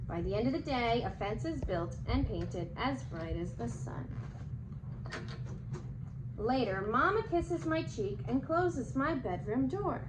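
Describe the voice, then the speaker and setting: A woman reading a children's picture book aloud as a storyteller, expressive and animated. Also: fairly high pitch, slow. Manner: animated, acting out the dialogue. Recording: echoey room with clear background noise.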